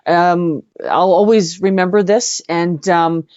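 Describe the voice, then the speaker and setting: Woman, lowish voice, effusive interview guest telling stories casually, slightly dull audio. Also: fairly even intonation, takes it slowly, darkish tone, no room sound. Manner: effusive, repeats words for emphasis. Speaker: interview guest, singer-songwriter and actress.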